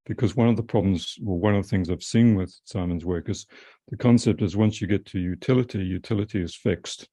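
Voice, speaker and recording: Man, very deep voice, dry sound, rambling, hesitant, filler words. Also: brisk, warm tone, no echo. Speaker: panelist, systems architect. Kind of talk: thinking-aloud panel talk.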